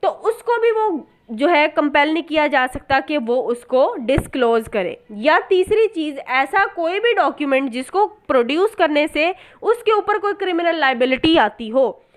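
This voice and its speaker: A woman's voice: high-pitched, very animated, unhurried, hardly any pauses. A woman giving an explanatory law lesson in a didactic way, asking and answering her own questions and mixing in English terms. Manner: code-switches into English terms. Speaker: law lecturer.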